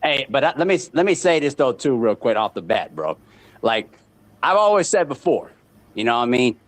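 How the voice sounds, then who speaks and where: A man's voice: mid-range voice, very animated, normal speed. A man in his thirties trash-talking in casual banter over a remote call, boastful, and he repeats his words a lot. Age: 30s.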